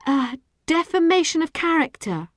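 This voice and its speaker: A woman's voice: sits high, big pitch swings, moderate pace, dry recording, clean studio sound, voice pushed up. A woman voicing a timid, embarrassed young girl in an audiobook, speaking hesitantly and precisely in a thin voice. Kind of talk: audiobook character acting.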